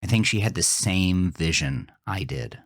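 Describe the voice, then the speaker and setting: A man reading a scripted first-person horror story in a measured, deliberate, dramatic way. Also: sits low, lively intonation, no echo, clean studio sound. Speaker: narrator of a horror fiction podcast.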